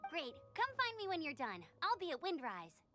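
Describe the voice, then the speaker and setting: A perky young female knight from a video game, speaks very high and bouncy, with big swings in pitch. She is brisk and proud of doing things by the book, with music playing behind her. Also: huge pitch swings, heavily acted. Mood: upbeat, encouraging. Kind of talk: video game character dialogue.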